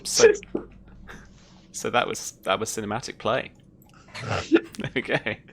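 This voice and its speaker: A man's voice: fairly low pitch, slow pace, creaky, background noise. A man acting as game master, chatting casually with players after a tabletop session.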